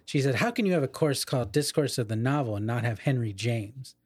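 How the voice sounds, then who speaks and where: A middle-aged man talks casually about literature as a podcast co-host. His voice sits at an ordinary pitch in a very dry recording, and he is learned and digressive, wandering into asides. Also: expressive, fairly quick, a little mellow, husky, no room sound.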